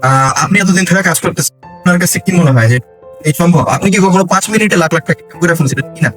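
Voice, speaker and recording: A man's voice: fairly high pitch, rapid-fire, fairly bright tone, soft background music. A Bangladeshi man casually putting questions to a guest as interview host.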